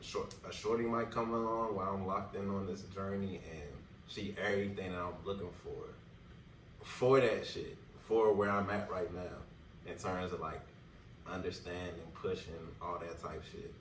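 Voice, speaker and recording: A man around thirty muses in a very deep voice, loose and full of slang and fillers. He sounds set back from the mic in an echoey room. Steady background noise runs under him. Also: moderate pace, recorded from a distance. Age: 30s. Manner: reflective, loose slang-heavy talk with many fillers. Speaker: podcast co-host. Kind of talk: casual chat.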